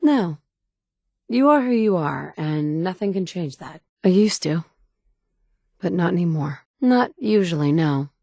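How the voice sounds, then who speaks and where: Determined mother in a game: blunt, dry-witted, lower register, close dry booth read. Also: lively intonation, unhurried, darkish tone, no echo. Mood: determined, wry. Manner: dry wit, blunt and curt when annoyed. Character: determined mother searching for her son, wry. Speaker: player character. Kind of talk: game dialogue lines. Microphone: close studio mic.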